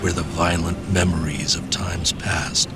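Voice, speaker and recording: An actor playing a grave, battle-worn hero, telling a galactic legend in measured, portentous game cutscene narration. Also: male voice, low voice, little pitch movement. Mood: grave, reverent. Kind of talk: dramatic scripted cutscene narration. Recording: loud music under the voice. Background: loud music.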